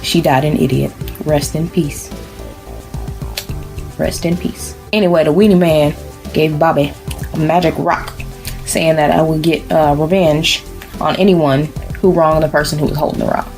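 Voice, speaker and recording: Low-voiced deadpan woman storyteller over music. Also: moderate pace. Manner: deadpan, mock-serious, sassy asides. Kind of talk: comic improvised bedtime storytelling. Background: background music.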